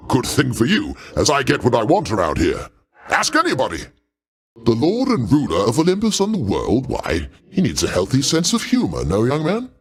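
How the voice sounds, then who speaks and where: A man's voice: medium pitch, wildly swooping pitch, normal speed, slightly rough, heavily acted. A male actor playing a pompous, jovial older king of the gods in a video game, grandiose and avuncular with genteel, old-fashioned phrasing. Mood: jovial, boastful. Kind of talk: theatrical video game character acting.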